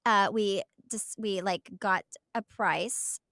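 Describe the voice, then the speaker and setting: A middle-aged American woman chats casually as a podcast co-host. Her pitch sits in a typical female range, and she talks in an animated, teasing way, doubling up words to make a point. The sound is dry and close. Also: slow pace, dry recording. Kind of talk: casual chat.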